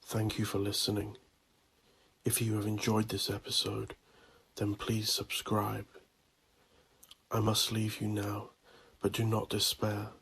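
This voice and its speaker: Gravelly, husky male narrator. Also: lowish voice, monotone, unhurried, pauses often, a bit bright. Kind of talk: audio drama narration.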